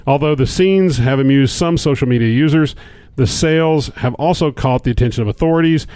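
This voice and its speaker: A man's voice: medium pitch, brisk, dark tone, no room sound. Man co-hosting a podcast, dry close sound. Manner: joking, wry asides while reading. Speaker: podcast co-host. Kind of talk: casual banter and reading odd news.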